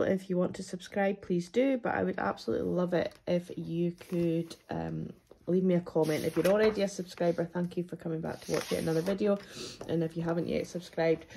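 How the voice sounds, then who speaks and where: A Scottish woman with a low, husky, gravelly voice chats at a kitchen table, rambling through her week in an easy, friendly way. She sounds chesty, as if a cold is coming on, and fills her talk with ums and restarts. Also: mellow. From Scotland. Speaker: budgeting vlogger. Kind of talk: casual chatty to-camera talk. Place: at a wooden table indoors.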